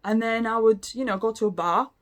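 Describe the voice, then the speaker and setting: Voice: medium pitch, brisk. Late thirties, casual talk to camera, hesitant, frequent fillers, restarts sentences. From Latvia. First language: Latvian. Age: late 30s. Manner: hesitant, many ums and restarts. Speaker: language learning vlogger.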